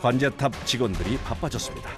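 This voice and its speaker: Male narrator, scripted documentary delivery, average pitch, prominent background music. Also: animated, fast.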